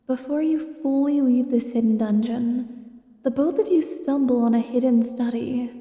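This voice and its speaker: High, mellow woman narrator with echo. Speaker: narrator, game master. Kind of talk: scripted second-person fantasy narration.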